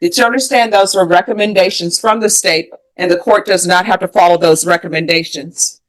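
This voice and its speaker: A woman's voice: on the low side, fairly even intonation, a little fast, poor audio. American woman judge, formal and methodical. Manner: procedural, methodical, formulaic. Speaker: judge presiding over a plea hearing. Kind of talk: formal courtroom questioning and procedure.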